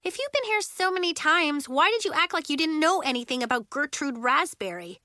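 Bossy schoolgirl, played by a woman, high and piercing, indignant, accusing, storybook style. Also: expressive, a little fast, pushed and bright, dry recording, studio quality. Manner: emphatic, indignant. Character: bossy, angry fifth-grade schoolgirl, exasperated and accusing.

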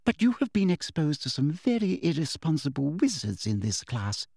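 A man's voice: on the high side, sing-song, normal speed, dark tone, no echo, studio quality, voice pushed up, heavily acted. Man doing a sickly-sweet condescending schoolmistress. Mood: falsely sweet. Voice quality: sweet, breathy, prissy and patronizing. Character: sugary, condescending female teacher, falsely sweet.

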